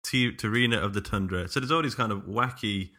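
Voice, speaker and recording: A man's voice: lowish voice, fairly quick, pushed and bright. A man hosting a podcast in casual chat, rambling enthusiastically with some hesitation. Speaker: podcast host.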